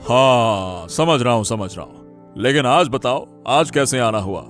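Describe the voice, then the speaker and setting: A man plays a respected cartoon village headman with a medium-pitched voice. He talks slowly, with welcoming authority, over faint music. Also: expressive, fairly bright tone. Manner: reassuring, authoritative. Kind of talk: Hindi cartoon moral story dialogue.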